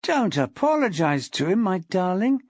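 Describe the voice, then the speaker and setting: A woman's voice: mid-range voice, very animated, darkish tone, no echo, studio quality, voice pushed up. A woman as a menacing, crooning jealous husband. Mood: jealous contempt. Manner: crooning, hissing, drawn-out words.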